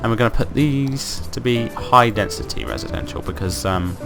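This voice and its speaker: A man with a croaky voice from being ill, hosting casual gameplay commentary and thinking aloud in an upbeat, rambling way. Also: mid-range voice, moderate pace, over music. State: croaky from illness. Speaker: let's play host.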